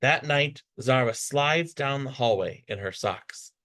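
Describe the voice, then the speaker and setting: A man reading a children's picture book aloud with expressive, dramatic storytelling delivery. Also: mid-range voice, a little slow. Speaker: storybook reader.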